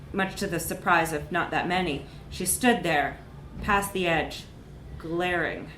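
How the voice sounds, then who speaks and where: A woman reading a comic short story aloud in a live literary reading, with expressive, dramatic emphasis. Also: on the low side. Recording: echoey room with background noise.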